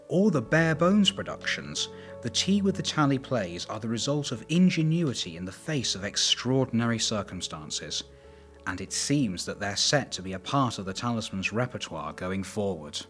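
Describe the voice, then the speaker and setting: A man narrating a scripted documentary as its host. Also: medium pitch.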